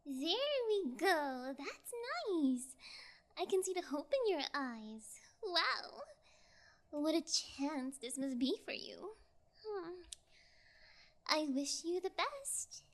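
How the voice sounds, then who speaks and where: Woman acting a high-pitched, lazily menacing kidnapper girl. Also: sing-song, takes it slowly, a bit bright, exaggerated voice. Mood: smug, falsely generous. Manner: lazy, teasing, falsely sweet, casual. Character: bored young female yangire kidnapper, playfully sociopathic. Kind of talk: ASMR audio role play.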